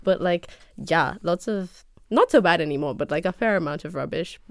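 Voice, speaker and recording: A woman's voice: on the low side, expressive, normal speed, no room sound. A young woman from Kenya, a podcast guest, chatting casually with lots of fillers and easy laughter. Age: young adult.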